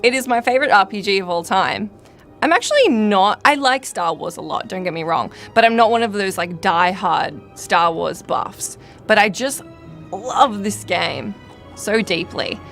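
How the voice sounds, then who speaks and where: A woman's voice: medium pitch, lively intonation, moderate pace, vocal fry, fairly bright tone, soft background music. A woman hosting a game livestream in casual chat, with a wry, teasing way of talking. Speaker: livestream host and game player. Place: live stream gaming setup.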